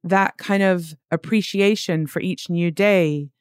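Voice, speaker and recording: A woman's voice: on the low side, slow pace, clear, resonant tone, no echo, clean studio sound. A woman interviewing a guest, asking attentive, probing questions. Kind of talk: conversational interview.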